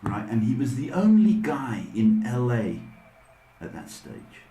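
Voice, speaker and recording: A man's voice: medium pitch, sing-song, unhurried, warm tone, faint music behind. A middle-aged man chatting casually and jokily as a rambling live stream host. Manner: rambling, joking. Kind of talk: casual chat. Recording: echoey room, faint background noise.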